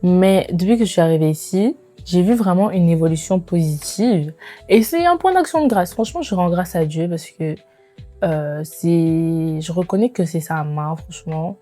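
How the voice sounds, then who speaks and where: A woman in her twenties chatting casually to viewers as a lifestyle vlogger, in an animated, informal way with slangy phrasing and bits of English mixed in. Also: fairly low pitch, normal speed. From immigrated to Canada. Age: 20s. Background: background music.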